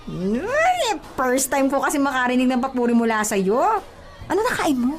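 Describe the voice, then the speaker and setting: Woman acting a flustered, high-voiced young housemaid. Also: big pitch swings, normal speed, soft background music. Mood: teasing, surprised. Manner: exclaiming, stammering when flustered. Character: flustered, chatty young housemaid with suitors, homesick for province. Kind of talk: radio-drama style acting.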